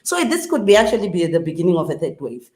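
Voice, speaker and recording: A woman's voice: on the low side, very animated, fast talker, a little mellow. A woman speaking earnestly as a guest on a discussion panel, often restarting her sentences. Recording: echoey room.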